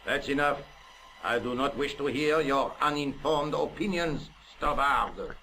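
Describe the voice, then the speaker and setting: An actor playing a cultured, proud and touchy French police inspector in a video game, formal and haughty, with a French accent. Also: male voice, medium pitch, animated, measured pace, sharp tone, a little hiss or hum, low-quality recording. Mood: offended, impassioned.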